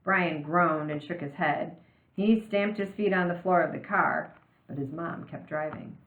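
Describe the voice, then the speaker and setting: A woman with a slightly low voice reads a children's novel aloud. Her delivery is expressive storytelling. The recording is roomy and somewhat muffled, with limited frequency range. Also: a little slow.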